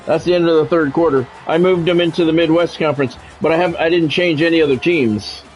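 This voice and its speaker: A man's voice: on the high side, brisk, faint music behind, low-quality recording. A man livestreaming a video game, giving casual commentary and chatting with viewers in a repetitive, explanatory way.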